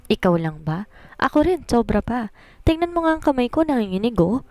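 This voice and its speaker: Babaeng gumaganap na kinakabahang dalagang ikakasal. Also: female voice, a little high, sing-song, fairly quick, dark tone, dry recording, voice pushed up. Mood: nervous. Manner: fretful, pleading. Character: nervous young bride, anxious but determined. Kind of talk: Filipino romance audio story.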